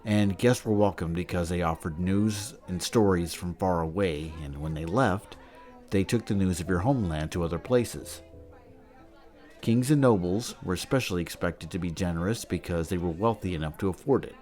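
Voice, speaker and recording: A man playing a learned character who patiently explains old myths and customs in a measured, didactic way, in an audio drama. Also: sits low, darkish tone, soft background music, lightly acted. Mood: patient, instructive.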